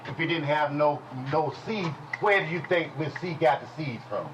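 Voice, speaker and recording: A man teaching a congregation in a preaching style, folksy and call-and-response, with speech, dropping at times to low murmurs. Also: animated, normal speed, highs cut off, noisy background. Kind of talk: preaching Bible lesson. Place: congregation hall.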